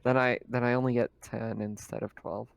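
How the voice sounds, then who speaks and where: A man's voice: mid-range voice, level tone, brisk, gravelly, background noise. A man playing a tabletop roleplaying game, casually asking about the rules. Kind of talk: casual, questioning game chat.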